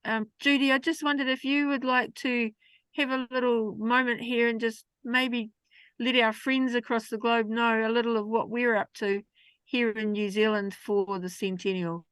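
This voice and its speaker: Woman hosting a video call, smooth voice, pretty high, dry sound. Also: steady tone, moderate pace, no echo, rough recording. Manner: gently prompting guests. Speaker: meeting host. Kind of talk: hosting an online meeting.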